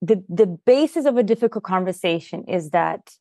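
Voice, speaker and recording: A woman's voice: fairly low pitch, lively intonation, moderate pace, mellow, no room sound, clean studio sound. A woman whose first language is Russian, a podcast guest and leadership coach, explaining things earnestly in casual conversation with frequent "you know" and "like".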